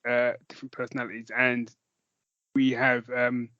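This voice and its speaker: A man's voice: medium pitch, completely flat delivery, moderate pace, bright, forceful tone, no room sound, highs cut off. A man, co-hosting a podcast in casual, jokey, rambling chat with some swearing. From London, England. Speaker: podcast co-host.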